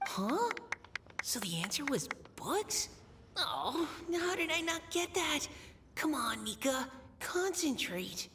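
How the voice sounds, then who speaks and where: A woman's voice: high voice, very animated, slow pace, a bit bright, slight background noise, heavily acted. A woman voicing a shy, earnest young boy knight in a video game, hesitant and stammering. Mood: frustrated, determined. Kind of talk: video game character acting.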